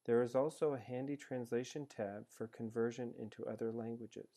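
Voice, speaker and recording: Mellow male tutorial narrator. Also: mid-range voice, steady tone, moderate pace. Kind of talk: scripted instructional narration. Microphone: screencast voice-over.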